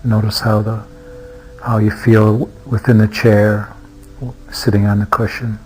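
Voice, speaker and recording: Over clearly audible music, a man guides a meditation in a low-pitched, very even voice. He takes his time, leaving long gaps between phrases and sometimes restarting a thought hesitantly. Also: unhurried, rough recording. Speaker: meditation teacher.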